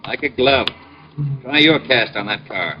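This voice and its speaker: An actor playing a gruff, determined police lieutenant in an old radio crime drama, curt and businesslike. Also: male voice, medium pitch, animated, a little slow, lightly acted. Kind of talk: 1940s-50s radio crime drama acting. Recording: muffled, noisy old radio recording.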